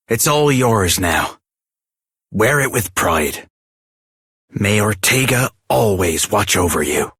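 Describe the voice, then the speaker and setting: An actor playing a kindly, excitable veteran smith in a video game, theatrical, wistful and earnest in manner. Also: male voice, mid-range voice, slightly rough, dry recording, clean studio sound, full character voice. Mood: warm, solemn. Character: kindly, excitable veteran armour smith, old comrade of a hero. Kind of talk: scripted video game voice acting.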